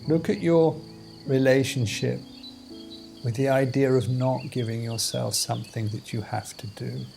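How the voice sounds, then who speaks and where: Slow-talking man guiding a meditation over music. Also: mid-range voice, steady tone, creaky, a little mellow. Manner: measured, deliberate phrasing with pauses. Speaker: meditation teacher. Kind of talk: guided meditation instruction. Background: music and outdoor insect sounds.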